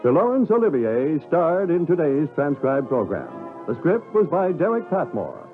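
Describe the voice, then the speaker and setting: Casting: male announcer, fairly high, animated delivery of scripted vintage radio credits. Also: moderate pace. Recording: muffled vintage radio, music behind.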